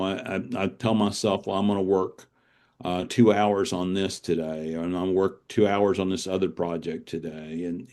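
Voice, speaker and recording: A man's voice: low-pitched, a little mellow. A man speaking conversationally as an interview guest, explaining things and repeating words as he thinks.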